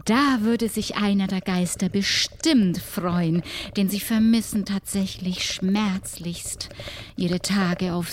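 A woman acts out a solitary, mysterious keeper of ghosts in a tabletop roleplay. She talks very slowly and deliberately in a soft, dark tone with formal, old-fashioned wording. Faint noise sits behind her. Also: medium pitch, close to an everyday voice. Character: solitary mysterious hut-dwelling woman guarding pirate ghosts.

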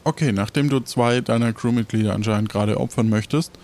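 A man improvising as game master in an adventure game, talking in a casual, colloquial and halting way. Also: mid-range voice, a little mellow.

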